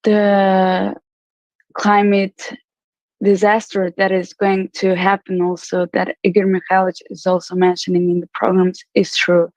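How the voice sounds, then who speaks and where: A woman giving an earnest, urgent testimony to an online audience, often pausing on fillers. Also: mid-range voice, darkish tone, no room sound. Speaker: conference participant sharing a testimony. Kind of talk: unscripted speech to an online audience. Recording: dull lo-fi audio.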